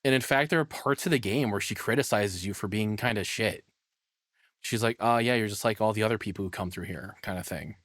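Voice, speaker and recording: A man's voice: fairly low pitch, a little fast, a bit bright, no room sound, clean studio sound. A man giving casual, enthusiastic, rambling live reaction commentary as a streamer.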